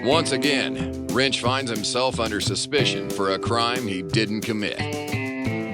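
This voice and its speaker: Man as a deep gravelly pulp-serial narrator. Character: melodramatic hardboiled pulp serial narrator, grave and mock-heroic. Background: guitar music.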